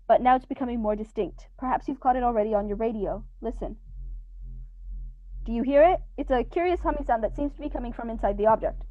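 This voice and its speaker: High-voiced, excitable radio news reporter played by a woman. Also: dry recording, muffled recording, lightly acted. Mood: tense, curious. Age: young adult. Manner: dramatic, urgent narration with pauses. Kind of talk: radio drama live eyewitness report. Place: living room at home. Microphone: earphone mic on a video call.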